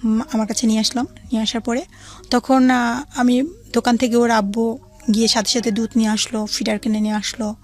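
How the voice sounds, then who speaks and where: A woman's voice: a little high, little pitch movement, fairly quick. A woman with a Bangladeshi accent tells a personal story as an interview guest, running on in detail and quoting conversations. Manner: recounts in long run-on detail, quoting dialogue. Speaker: guest telling own life story.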